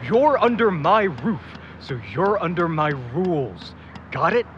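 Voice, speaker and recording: Male actor as grumbling van guy, blunt, animated pitch, audio drama, muffled noisy recording. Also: a little slow, full character voice. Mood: bossy, irritated. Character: grumpy, indifferent van-owning man, older than his companions. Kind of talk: naturalistic audio drama acting. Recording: muffled, with clear background noise.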